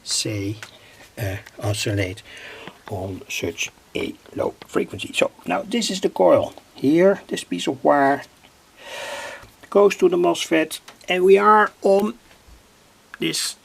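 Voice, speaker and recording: A man demonstrating a radio circuit at his workbench, speaking haltingly with pauses, fillers and self-corrections. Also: on the high side, expressive, creaky, a bit bright, breathy edge.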